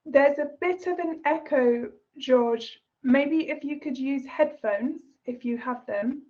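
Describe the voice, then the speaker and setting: A woman with a high, bright-pitched voice chairs an online meeting, calling on speakers one by one in a courteous way. Her voice comes through a video call and sounds a little muffled and dull. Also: slow pace. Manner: polite, inviting speakers in turn. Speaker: session moderator.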